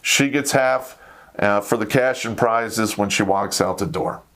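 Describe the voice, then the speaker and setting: A man's voice: fairly low pitch, a little slow, a bit bright. An American man hosting a talk show, ranting in a blunt, profane, conversational way. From United States. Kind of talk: live opinionated rant to camera.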